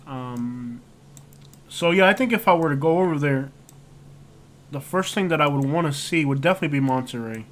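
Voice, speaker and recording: Rambling man with a slightly high voice. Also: lively intonation, normal speed, long pauses. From United States. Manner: rambling, heavy on fillers like "like" and "you know". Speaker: livestream host. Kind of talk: casual live-stream chat while browsing street views.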